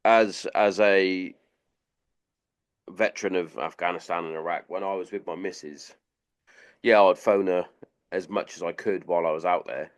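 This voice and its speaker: This is an English man hosting a casual podcast, with a slightly low voice. He often stumbles and restarts his sentences before getting them out. Between these stumbles he jokes and swears in easy banter. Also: fairly even intonation, normal speed, long pauses. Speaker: podcast host, veteran.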